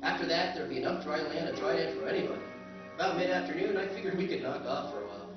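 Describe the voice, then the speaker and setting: A man's voice: a little high, fast, music in the background, natural voice. An actor playing a wry, skeptical planetary surveyor who tells his own tale in a staged old-time radio drama. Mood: wry narrating. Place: convention stage. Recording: echoey stage recording, distant and dull.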